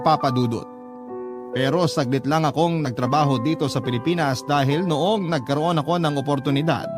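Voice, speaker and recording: Male drama narrator, slightly high pitch, earnest, sentimental letter reading over a clear music bed. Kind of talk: scripted first-person letter narration for radio drama.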